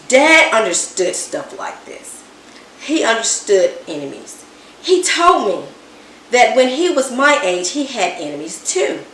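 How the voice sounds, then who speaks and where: Animated woman reading a story, roomy echo. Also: fairly high pitch. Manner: animated, dramatic emphasis with hushed asides. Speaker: storybook reader. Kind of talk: expressive read-aloud of a children's story. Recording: roomy echo, distant mic, background noise.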